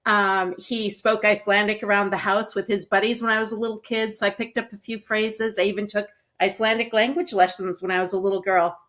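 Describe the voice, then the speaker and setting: A middle-aged woman from North Dakota, a dietitian and author, telling her story as a guest in a composed, steady way. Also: medium pitch, brisk, few pauses. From North Dakota, USA. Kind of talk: interview answers.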